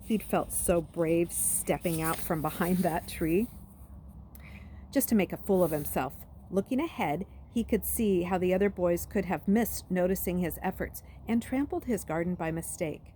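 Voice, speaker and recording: A woman reading a story aloud as an expressive narrator. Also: on the low side, normal speed, slight background noise. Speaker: read-aloud reader.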